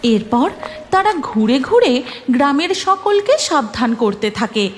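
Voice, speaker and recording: High, lively woman storyteller. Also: measured pace, a little hiss or hum. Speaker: narrator. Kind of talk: expressive scripted storytelling narration for a cartoon.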